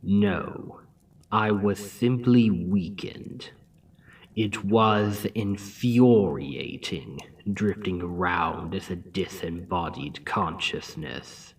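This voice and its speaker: A scheming demon king, seductive and mocking, as a man acts him out. His voice is very deep and unhurried, purring taunts that sink into whispers. The mic sits a little distant in an ASMR roleplay. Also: slightly rough, full character voice. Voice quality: deep, slow, intimate. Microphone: close ASMR mic.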